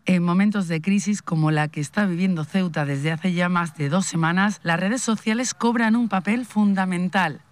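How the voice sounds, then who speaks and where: A woman reporter reading a scripted news voice-over in a measured, formal way. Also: lowish voice, a little hiss or hum.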